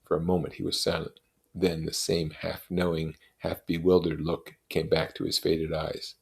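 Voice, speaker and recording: A man reading literary prose aloud as an expressive narrator. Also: deep voice, moderate pace, creaky. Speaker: reader.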